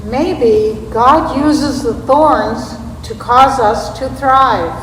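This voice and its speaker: A woman giving a scripted dramatic recitation in a church readers theater, with a deliberate, measured delivery. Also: on the high side, fairly bright tone. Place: large reverberant church space. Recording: echoey hall, distant mic, background noise.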